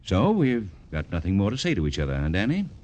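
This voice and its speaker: A man's voice: sits low, wildly swooping pitch, fast, a little mellow. A man playing a pompous, easily cowed underworld big shot in a 1950s radio crime drama. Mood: smug. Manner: boastful and menacing, pleading under pressure. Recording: old radio broadcast, faint background noise.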